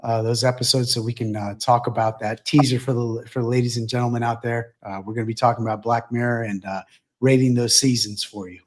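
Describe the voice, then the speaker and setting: A man's voice: mid-range voice, little pitch movement, brisk, a little mellow. A man co-hosts a podcast in an easygoing voice. He wanders through his thoughts with frequent fillers. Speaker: podcast co-host. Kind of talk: casual chat.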